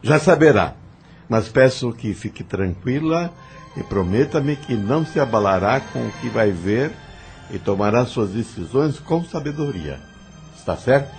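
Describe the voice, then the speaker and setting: A man playing a calm, patient spirit mentor in an audio novel, speaking in a solemn, formal, instructive manner. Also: mid-range voice, a little slow, soft background music. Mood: gentle, reassuring.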